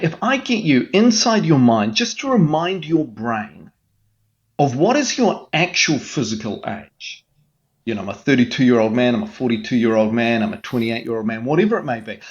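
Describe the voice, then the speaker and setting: A man's voice: a little high, dull audio. A man speaking as a mental health guest in an interview, explaining with an earnest coaching manner and frequent restarts.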